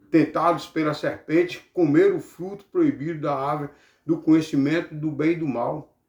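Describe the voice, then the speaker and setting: Gravelly Bahian preacher in an echoey room. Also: male voice, fairly high pitch. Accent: Bahian Brazilian. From Bahia, Brazil. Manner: didactic, punctuated with rhetorical check-ins. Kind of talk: sermon-like Bible teaching.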